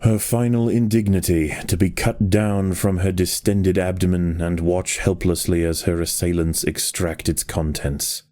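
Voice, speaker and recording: A man giving a scripted nature documentary narration for a video game in a measured, solemn and dramatic manner. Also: deep voice, fairly even intonation, warm tone, dry recording, clean studio sound.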